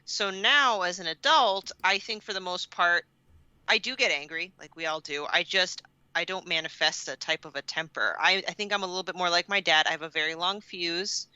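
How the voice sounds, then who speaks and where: A woman podcast co-host with a very bright, forceful voice and smooth, resonant tone. She talks candidly and casually, leaning on words for emphasis. The sound is dry and close. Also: on the low side, normal speed, dry recording, highs cut off. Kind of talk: casual personal chat.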